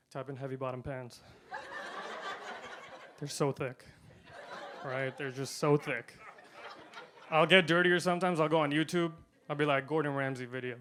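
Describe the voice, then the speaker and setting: A man doing a stand-up comedy set, delivering punchlines deadpan and swinging between loud and quiet. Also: fairly high pitch, a little hiss or hum. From India.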